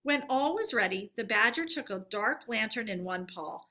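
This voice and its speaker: A woman storyteller with a fairly high, bright voice reads a children's tale aloud. Her delivery is expressive and forward, clearly shaped for read-aloud narration. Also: moderate pace.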